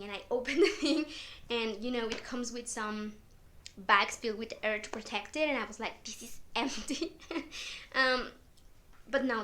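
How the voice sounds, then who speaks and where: Chatty non-native English-speaking woman, bright pitch. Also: slight background noise. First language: not English. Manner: chatty, many fillers and little laughs. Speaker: book vlogger. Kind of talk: casual chat.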